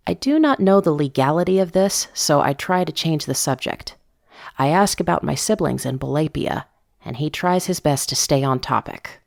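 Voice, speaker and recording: A woman giving a measured, intimate first-person narration of a scripted drama, as if reading from a diary. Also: low voice, expressive, creaky, a little mellow, no room sound, clean studio sound. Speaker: narrator of a fiction podcast story.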